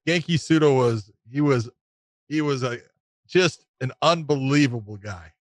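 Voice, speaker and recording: A man's voice: mid-range voice, measured pace, dry recording. A man co-hosting a casual sports podcast, a former referee who stresses his points by repeating them.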